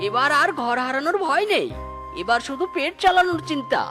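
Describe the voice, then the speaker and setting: A woman's voice: sits high, lively intonation, a little fast, music in the background. A woman voicing a weary, worried and pleading poor beggar in a children's cartoon. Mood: relieved.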